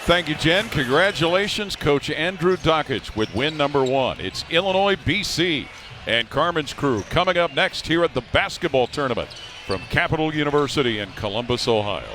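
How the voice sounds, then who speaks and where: A man announcing a basketball broadcast in a measured, informative way, speaking into a headset mic. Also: a little high, lively intonation, noisy background. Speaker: play-by-play announcer. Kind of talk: broadcast play-by-play.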